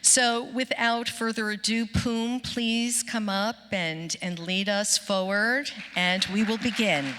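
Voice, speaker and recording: A woman hosting an event, formally introducing speakers from prepared notes in a measured way. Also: medium pitch, fairly even intonation, a bit bright. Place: large auditorium stage. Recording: echoing auditorium with long reverb.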